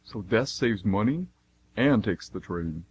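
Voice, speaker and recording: Huffy, wisecracking male passenger, acted, slightly deep, radio play dialogue with faint noise. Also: expressive, unhurried. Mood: joking, miffed.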